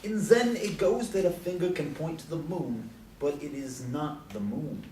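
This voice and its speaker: An echoing stage recording carries a man as an old Beat, wry and self-mocking. He declaims in a fairly high male voice, some way from the microphone. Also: measured pace, dark tone, natural voice. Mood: reflective. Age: older. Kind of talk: stage play dialogue. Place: live stage performance recorded from a distance. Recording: roomy, far from mic, faint noise.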